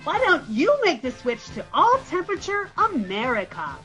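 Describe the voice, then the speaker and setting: Casting: woman for chirpy spoof-commercial housewife, very animated pitch, bright tone, faint music. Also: moderate pace, full character voice. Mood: gushing. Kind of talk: parody testimonial.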